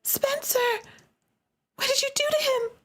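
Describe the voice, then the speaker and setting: A woman voicing a fierce, shrill teenage girl. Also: animated, normal speed, darkish tone, soft background music. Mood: shrill, urgent. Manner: shrill, commanding. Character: fierce teenage girl, protective older sister.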